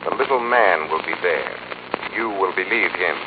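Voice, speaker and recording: Deep, menacing avenger of old radio. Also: male voice, animated, moderate pace. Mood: commanding, ominous. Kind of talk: 1940s radio mystery acting. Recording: muffled, noisy 1940s radio recording.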